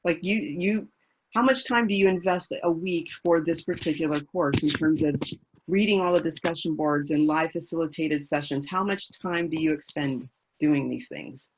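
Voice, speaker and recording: A woman's voice: low-pitched, moderate pace, dull audio, a little hiss or hum. A woman moderating an online webinar in a conversational, prompting and affirming way. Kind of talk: conversational interviewing.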